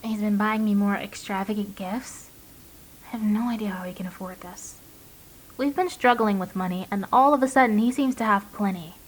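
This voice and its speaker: An actress playing an anxious, guarded young mother confiding haltingly and emotionally into an audio diary in a drama. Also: medium pitch, natural voice, low-quality recording. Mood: quiet, worried.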